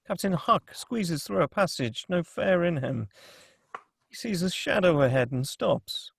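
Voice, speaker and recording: A man's voice: high-pitched, expressive, measured pace, creaky, husky, no room sound. A man reading scripted stage directions as the narrator of a screenplay table read.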